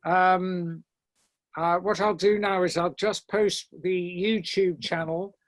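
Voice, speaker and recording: High-pitched man hosting an online event. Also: fairly even intonation, slow pace, dry recording. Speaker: event host. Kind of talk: informal moderating of an online event. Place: online video call.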